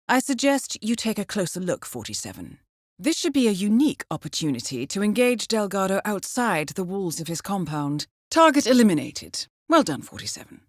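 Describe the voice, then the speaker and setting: An actress playing a composed, dryly witty intelligence handler in a video game, briefing an assassin in a formal, understated, ironic manner. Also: female voice, medium pitch, dry recording, studio quality, lightly acted. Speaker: mission handler.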